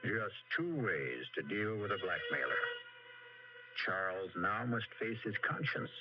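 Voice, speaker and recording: An actor as a grave, portentous host narrating a radio mystery drama. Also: male voice, low voice, sing-song, a little slow, music in the background. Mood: grave, ominous. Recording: muffled, noisy vintage radio recording.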